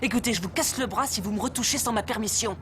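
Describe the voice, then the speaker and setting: A woman dubbing a tough female army crime investigator in a French film, speaking calmly, curtly and firmly. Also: on the high side, fast talker, close to an everyday voice. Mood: threatening. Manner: calm, curt and firm. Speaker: army lieutenant. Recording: film soundtrack with street noise.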